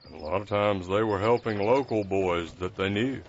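A man's voice: lowish voice, moderate pace, slight background noise, lightly acted. A man voicing a blind caretaker who is folksy, patient and kind. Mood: wry. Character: blind male caretaker, gentle and wry. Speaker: nurse to wounded soldiers. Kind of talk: audio drama acting. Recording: muffled lo-fi recording.

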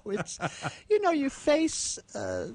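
A woman's voice: high voice, wildly swooping pitch, slow, darkish tone, dry recording. A woman co-hosting a radio show, chatting casually and reading literary prose. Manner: chuckles often while telling anecdotes.

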